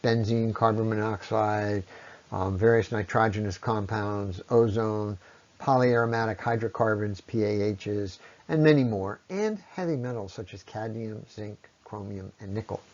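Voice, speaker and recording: A man giving an explanatory science lecture in a measured way, pausing often and letting his phrases trail off at the ends. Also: fairly low pitch, a little mellow. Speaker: presenter on ecology. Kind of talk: explanatory lecture on environmental impact. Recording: dull, lo-fi voice recording.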